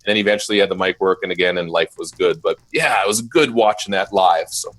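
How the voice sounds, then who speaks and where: Lively low-voiced DJ storyteller man. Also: fast. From Minnesota, USA. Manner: animated storyteller, voice jumps up when quoting. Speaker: mobile DJ company owner. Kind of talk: casual live interview.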